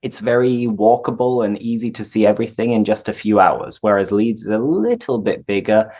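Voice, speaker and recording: A young man with a mild Yorkshire accent hosts a relaxed chat as an English teacher. His talk is friendly and jokey, with plenty of small hesitations. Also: lowish voice, a little fast. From Yorkshire, England. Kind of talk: casual interview.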